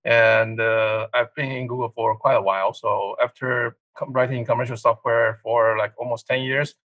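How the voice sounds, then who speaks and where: A man introducing himself on a panel as a software engineer, speaking hesitantly with many "uh" fillers. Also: medium pitch, little pitch movement, normal speed, no echo.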